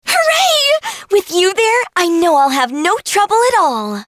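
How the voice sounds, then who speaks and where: High-voiced, bubbly young fairy girl. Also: sing-song, normal speed, fairly bright tone, no room sound, clean studio sound, exaggerated voice. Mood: excited. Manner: bubbly, earnest, affectionate. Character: sweet, cheerful young dream fairy girl. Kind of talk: English fantasy game voice acting.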